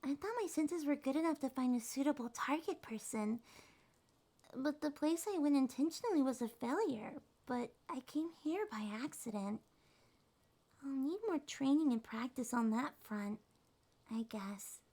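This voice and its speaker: A woman voicing a shy, sweet young succubus monster girl in a soft, breathy, hesitant ASMR roleplay. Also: sits high, moderate pace. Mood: sheepish, soft. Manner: hesitant, gentle, slightly stammering.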